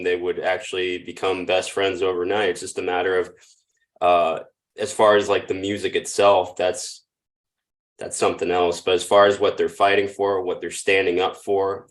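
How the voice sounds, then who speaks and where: A man with a deep, low voice, joining a podcast as a guest. He speaks in a relaxed, agreeable way. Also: moderate pace. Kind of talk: casual podcast chat.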